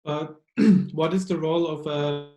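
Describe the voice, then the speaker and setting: Slow, echoey male interviewer on a call. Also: a little high, steady tone, dark tone. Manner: halting, with many fillers. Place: home room with bookshelves. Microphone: webcam video call. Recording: echoey room on a video call.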